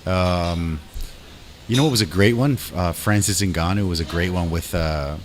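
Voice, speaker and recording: A deep, low-pitched man hosts a podcast in a loose, excitable chat. He talks like a buddy, full of slang and casual swearing. There is some background noise behind him. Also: moderate pace. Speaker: podcast host.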